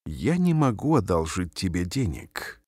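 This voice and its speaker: Deep-voiced man reading phrases slowly. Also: sing-song, dark tone, no echo. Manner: careful, clearly spaced phrases. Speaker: language teacher. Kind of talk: slow repeated phrase reading for learners. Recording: dry studio voice-over.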